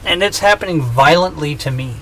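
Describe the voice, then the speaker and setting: A man's voice: on the high side, lively intonation, a little fast, a bit bright, a little hiss or hum, poor audio. A man in his thirties chatting casually on a gaming livestream, joking and rambling with self-mocking humor. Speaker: streamer.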